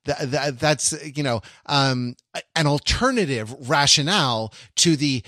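A man's voice: medium pitch, a little slow, bright, forceful tone, no echo, studio quality. A man co-hosting a podcast, talking in long rambling sentences with many restarts and laughing asides. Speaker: podcast co-host. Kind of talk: casual discussion.

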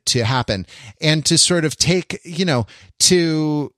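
A man's voice: a little high, animated, slow pace, a bit bright, dry recording. Rambling, reflective man hosting a dry-sounding podcast. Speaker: podcast host. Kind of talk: casual conversational podcast talk.

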